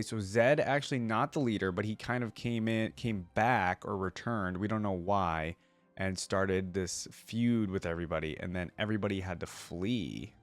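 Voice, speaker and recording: A man hosting a reaction video, mixing casual commentary with reading aloud and thinking things through as he goes. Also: fairly low pitch.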